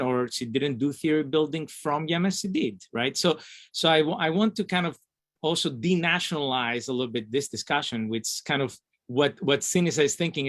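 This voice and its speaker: A man's voice: mid-range voice, fairly bright tone, no echo. A man speaking as an academic panelist, thinking aloud in a hesitant, discursive way as he argues point by point. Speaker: academic panelist, board member of a scholarly association.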